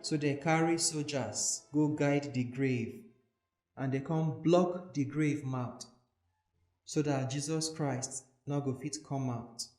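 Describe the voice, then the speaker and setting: A man with a Nigerian accent reading scripture as a measured, deliberate dramatic narration. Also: mid-range voice, fairly even intonation, a little mellow. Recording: echoey voice recording with music. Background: soothing background music.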